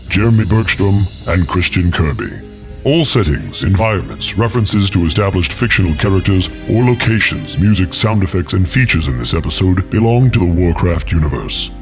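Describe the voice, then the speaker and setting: A man's voice: sits low, expressive, moderate pace, darkish tone, soft background music. A man reading scripted credits as the announcer of an audio drama. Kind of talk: scripted narration.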